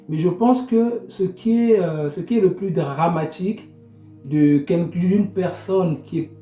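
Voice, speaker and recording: A man speaks in a relaxed, fairly high voice, repeating words as he works through his thoughts in a group talk. The room echoes noticeably and faint music plays behind him. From Congo. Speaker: podcast panelist. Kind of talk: reflective group discussion on faith. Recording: roomy echo, faint music.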